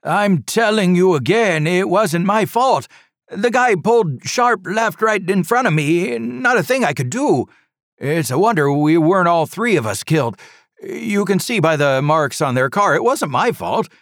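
A man voicing a large, disheveled driver who talks loudly in a rush of words, insisting the crash was not his fault. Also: sits high, expressive, a little slow, no room sound, studio quality, voice pushed up, full character voice. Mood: defensive.

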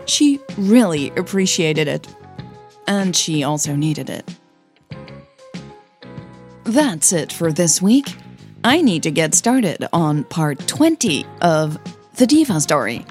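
A woman with a low voice narrates and presents an audio drama series. She takes her time, speaking at a very slow pace over light music. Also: very animated, darkish tone. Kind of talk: scripted narration and podcast address to listeners.

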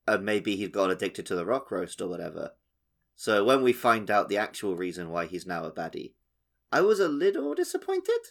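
A man's voice: lowish voice, huge pitch swings, moderate pace, vocal fry. A man co-hosting a podcast, casually talking through a video game and often checking for agreement. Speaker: podcast co-host.

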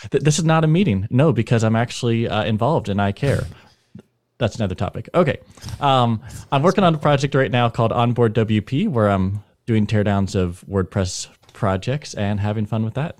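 A man from Idaho, a freelance panel guest, talking softly with some hesitation. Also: on the low side, normal speed, no room sound. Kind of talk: casual panel chat.

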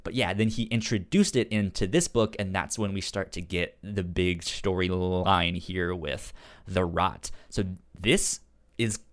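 Low-voiced man with a stutter. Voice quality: stutter, repeated sounds and syllables. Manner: halting, explanatory. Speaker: podcast co-host. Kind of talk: casual book discussion.

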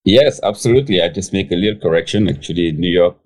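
Very deep, mellow man. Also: fast. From Senegal. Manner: hesitant, many fillers and restarts. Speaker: guest chef. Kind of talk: casual interview storytelling.

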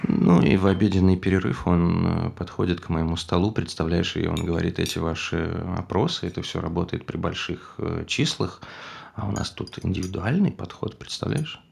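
An actor playing a nagging, quietly menacing husband in stage dialogue, halting and insistent. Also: male voice, low-pitched, gravelly, slight background noise. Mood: aggrieved.

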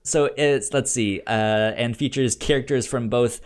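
A man co-hosting a podcast in a casual chat, speaking haltingly and stammering over words as he presents ideas. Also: mid-range voice, fairly even intonation. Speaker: podcast co-host. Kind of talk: casual comics pitch chat.

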